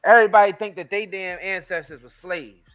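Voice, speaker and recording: A man's voice: high-pitched, steady tone, fairly quick, a bit bright, clear, resonant tone, faint music behind. An American man co-hosting a casual talk show, blunt and slangy, with plenty of swearing. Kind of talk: casual opinionated chat.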